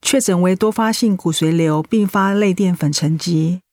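Radio drama physician female actor: grave, composed woman, slightly low pitch. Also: normal speed, darkish tone, no room sound, studio quality, natural voice. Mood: grave, professional. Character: serious, composed female doctor.

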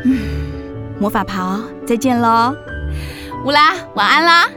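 A woman voicing a lively, affectionate, teasing witch aunt in a children's radio drama. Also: a little high, a little slow, over music. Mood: wistful. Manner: playful, expressive.

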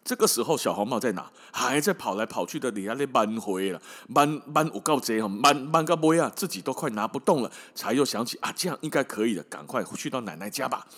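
A man's voice: mid-range voice, animated, fast, few pauses, a bit bright, slightly rough. A man with a Taiwanese accent, a podcast host telling a fairy tale casually with irreverent, colloquial comic asides.

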